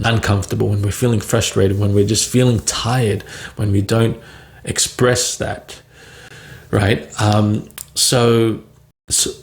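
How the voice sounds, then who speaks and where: A man's voice: fairly low pitch, vocal fry, a little mellow. A man who stutters, talking in a casual, free-flowing vlog, with blocks, repeated words and many "right?" tags. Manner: frequent "right?" and "um" fillers. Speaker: vlogger on stuttering.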